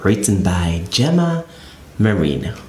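A man reading a children's picture book aloud as a storyteller, in an expressive, very animated way. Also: fairly low pitch, a little slow. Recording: echoey room with faint ambient noise.

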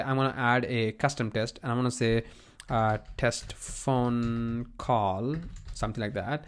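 A man with a mid-pitched voice narrates a screencast as a software tutorial presenter. His delivery is informal and explanatory, and he tends to repeat phrases as he walks through the steps. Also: clear, resonant tone. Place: voice-over on a screen recording.

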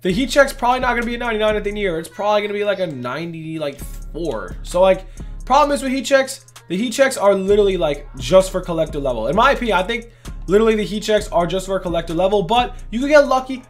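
A young man with a voice going hoarse, hosting a casual gaming video in hyped, rambling talk full of "literally" and "bro". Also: high-pitched, animated, fast, faint music behind. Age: young adult. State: losing his voice. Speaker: gaming channel host. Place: indoors at a gaming setup, face cam.

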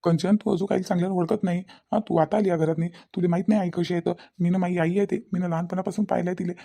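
Lady doing a cartoon young husband, really rapid talker, husky and dark, firmly denying everything. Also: fairly low pitch, fairly even intonation. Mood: disbelieving, firm. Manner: firm, repeats denials. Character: mother-defending young husband, insistent and disbelieving. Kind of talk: Marathi cartoon family comedy-drama acting.

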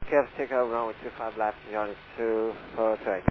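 A man's voice: on the low side, level tone, brisk. A man working as an airline pilot, giving clipped readbacks over aviation radio. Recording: very noisy, muffled cockpit radio.

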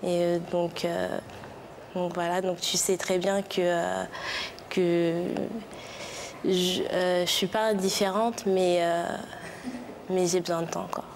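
A woman's voice: fairly low pitch, little pitch movement, slow pace, long pauses, a little hiss or hum. A woman in her twenties answering carefully and hesitantly in a TV studio, full of fillers. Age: 20s. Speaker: guest. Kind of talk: TV talk show reply. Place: large TV studio with audience. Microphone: lapel mic.

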